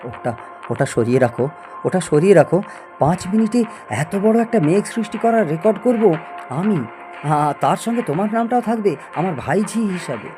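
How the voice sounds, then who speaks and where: A man's voice: high-pitched, expressive, normal speed, music in the background. A man acting a proud, obsessive middle-aged scientist in a Bengali audio story, theatrical and prone to stammer when agitated. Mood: shocked, agitated. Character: proud obsessive male scientist, boastful and stubborn.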